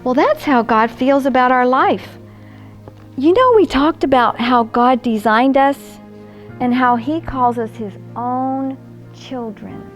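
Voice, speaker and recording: An older woman with a high voice tells stories to small children at an unhurried pace. She coaxes them along in a sing-song, grandmotherly way, asking them questions as she goes. Music plays behind her. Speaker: children's storyteller and host.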